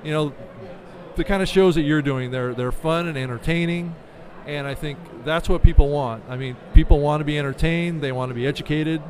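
A man's voice: a little high, fairly quick, darkish tone. A middle-aged man giving conversational interview answers in an explanatory way, often checking with "right?". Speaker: interview guest, podcast business manager. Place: convention expo booth, indoors. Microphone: studio microphone on a desk stand.

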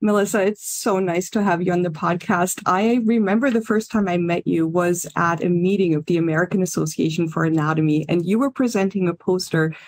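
A woman's voice: fairly low pitch, brisk, few pauses, no echo. A woman co-hosting a podcast, welcoming a guest and asking questions with enthusiasm. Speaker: podcast co-host. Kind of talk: casual podcast interview.

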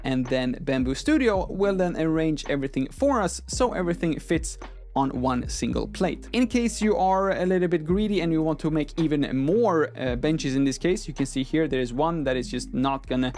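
A young man, a non-native English speaker, hosting a conversational software tutorial with an informal, step-by-step explanatory manner into a close microphone. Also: on the high side, animated, normal speed, soft background music. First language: not English. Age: young adult. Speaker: tutorial host. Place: small room at a desk, indoors. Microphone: close microphone on an arm.

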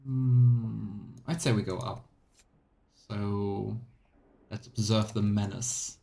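Low-voiced man musing over a game. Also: steady tone, takes it slowly, warm tone. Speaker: game streamer. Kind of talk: let's play commentary, reading game text aloud. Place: small room indoors at home. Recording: clear background noise, game ambience.

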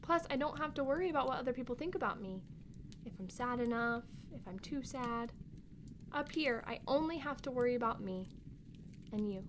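A woman's voice: a little high, animated, brisk, background noise, lightly acted. A woman playing a candid, worried young sister in a family audio drama, with a childlike way of talking. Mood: wistful, candid.

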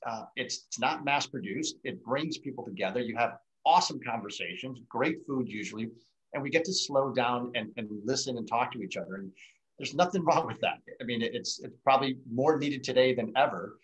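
A man's voice: mid-range voice, a little fast, fairly bright tone, rough recording. A middle-aged American man hosting casually and chatting over a video call. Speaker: host of a virtual wine tasting. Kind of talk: casual chat. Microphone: video call microphone.

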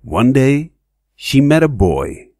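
A man playing a solemn storybook narrator, telling a fairy tale in a measured way. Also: mid-range voice, very animated, warm tone.

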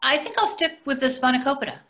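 A woman's voice: high voice, expressive, fast talker, fairly bright tone. An older woman playing a polite, placating tourist diner in a readers theatre comedy, speaking a little hesitantly. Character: polite, hungry New York tourist diner, conciliatory. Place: plain room indoors on a video call. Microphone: video call microphone.

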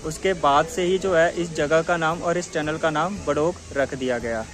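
A man's voice: fairly high pitch, moderate pace, fairly bright tone. A man vlogging a mountain train journey, chatting like a tour guide and leaning on the same filler phrase. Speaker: travel vlogger. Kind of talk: travel vlog narration. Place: aboard a mountain toy train and on station platforms, outdoors.

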